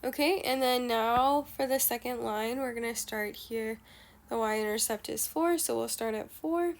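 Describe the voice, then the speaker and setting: Young female teacher, a bit stuffy and nasal, higher voice, patient walkthrough with fillers. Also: a little slow. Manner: patient step-by-step explaining, many fillers. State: nasal from allergies. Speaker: math teacher. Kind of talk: explaining a math lesson.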